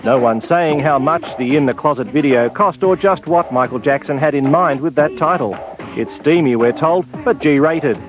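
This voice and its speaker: An Australian man voices a television news story with a wry, punchy delivery. His voice sits slightly high. Loud music plays behind him throughout. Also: moderate pace, highs cut off. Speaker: TV news reporter. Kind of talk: scripted news report voice-over.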